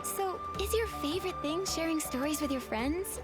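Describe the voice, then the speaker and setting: A gentle young game heroine, with a high voice and a sincere, polite manner. She comes across as kind and determined, and loud music plays beneath her. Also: lively intonation, normal speed. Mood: gentle, curious. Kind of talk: video game character acting.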